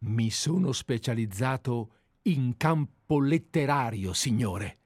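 A man's voice: medium pitch, lively intonation, slow, a little mellow, husky, dry recording, clean studio sound. Man playing a meek, swooping, obsequious amateur detective. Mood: earnest, admiring. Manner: deferential, wordy, formally polite. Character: meek, obsequious former bookkeeper turned amateur private detective. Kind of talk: radio play acting.

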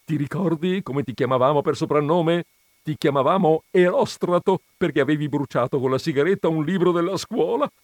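A man's voice: fairly high pitch, a little fast, dry recording, voice pushed up, close to an everyday voice. A man voicing a thin, chattering old school friend in a short story reading, gushing and repeating himself. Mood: excited, chattering.

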